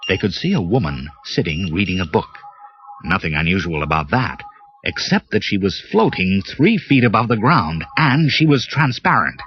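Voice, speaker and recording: A man narrating a read-along storybook in a lively, expressive way. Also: low voice, normal speed, a little hiss or hum. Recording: muffled cassette with music bed.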